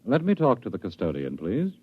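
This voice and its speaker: A cold, powerful bureau director in an old sci-fi radio play. His voice is very low and swings expressively, measured and patronizing. The vintage recording is muffled. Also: huge pitch swings, slight background noise. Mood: brisk, commanding. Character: cold, smooth, controlling male director of a dystopian bureau. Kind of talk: 1950s radio drama acting.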